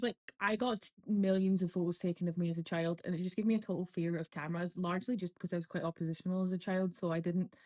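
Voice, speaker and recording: A woman's voice: lowish voice, darkish tone. Chatty woman with a posh Scottish accent. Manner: rambling, chatty, lots of fillers. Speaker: vlogger. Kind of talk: casual talk to camera.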